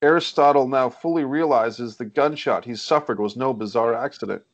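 A man's voice: mid-range voice, normal speed, dry recording, dull audio. A man hosting and narrating a screenplay table read over a video call. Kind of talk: reading stage directions, casual moderating.

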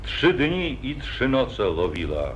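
A man's voice: mid-range voice, big pitch swings, takes it slowly, fairly bright tone, a little hiss or hum. A man narrating an old epic in solemn verse, in 1940s radio acting style. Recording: dull lo-fi 1948 radio recording.

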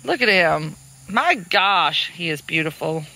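A woman's voice: fairly low pitch, expressive, slow, pushed and bright, poor audio. Chatty woman filming her farm animals. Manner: chatty, laughs often, talks sweetly to animals. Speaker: homestead vlogger. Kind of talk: casual narration while doing farm chores. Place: outdoor farm pens and pasture.

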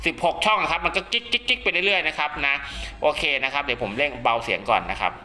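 A man's voice: on the high side, sing-song, fast talker. A man giving a casual spoken how-to demonstration, often checking that listeners follow along. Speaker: radio hobbyist presenter.

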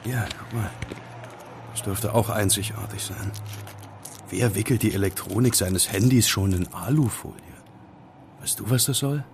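A man's voice: on the low side, moderate pace, long pauses, slight background noise, lightly acted. An actor playing a curious, wary young male student sleuth in an audio drama, conversational and musing. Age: young adult. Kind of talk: German audio drama acting.

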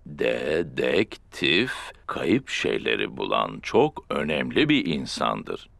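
A jovial, teasing cartoon father pig. His voice is very deep and gravelly and swoops widely in pitch. Loud music plays behind him. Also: wildly swooping pitch, slow pace, very noisy, full character voice. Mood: fatherly. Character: jolly bumbling father pig with glasses.

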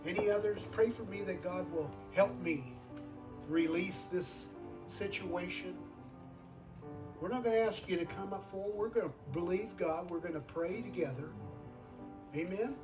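A man in his sixties preaches at a live service in a high voice. His delivery is earnest, circling back over phrases to drive them home. He sounds somewhat far from the microphone, with music clearly behind him. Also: moderate pace. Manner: earnest, repeats phrases for emphasis, calls for amens. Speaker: guest preacher. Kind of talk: sermon with altar call.

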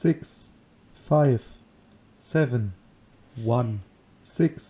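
A man reading out single words one at a time in a flat, detached way, like a numbers station. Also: mid-range voice, drawn-out words. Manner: flat, isolated word-by-word delivery. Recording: phone-like lo-fi 8 kHz playback.

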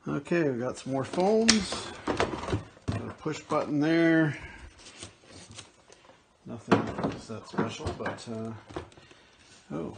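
A man talks slowly and loosely over a pile of old finds, thinking aloud and trailing off. His voice sits in a middle register. The home recording carries a clear layer of background noise. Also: mid-range voice. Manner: relaxed, rambling, thinking aloud with fillers. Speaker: picker and vlogger. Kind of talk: casual unboxing commentary. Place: indoors at a table, off camera.

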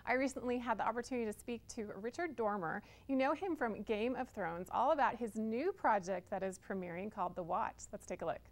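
A woman's voice: a little high, brisk, hardly any pauses. An American woman presenting and interviewing in a local television style.

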